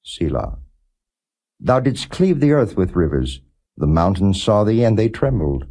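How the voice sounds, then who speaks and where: A man giving a non-dramatized scripture reading as a narrator, in a measured, solemn and formal way. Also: sits low, very animated, mellow, breathy edge. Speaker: Bible reader, audiobook narrator.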